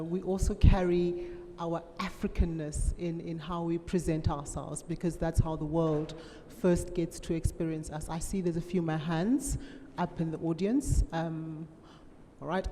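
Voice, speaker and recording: Woman moderator, low warm voice, measured and hesitant, echoey hall with faint hum. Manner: measured, hesitant, many pauses. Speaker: panel moderator. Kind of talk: moderating a forum Q&A.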